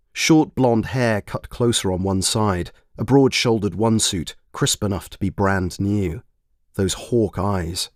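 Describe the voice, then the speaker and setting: Low, expressive man narrating fiction. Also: no room sound, studio quality. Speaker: author and narrator.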